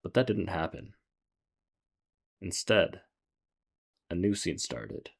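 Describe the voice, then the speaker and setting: A man with a notably low voice narrates in a scripted storytelling style. He is presenting a review of a game, and music plays prominently behind him. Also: sing-song, slow, gravelly, slightly rough. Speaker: video narrator reviewing a visual novel. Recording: music under the voice. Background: background music.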